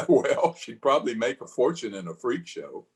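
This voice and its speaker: A man's voice: medium pitch, big pitch swings, normal speed, lightly acted. A man playing a doting, reassuring husband in an old-time radio play. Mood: dismissive, reassuring. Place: home, over a video call.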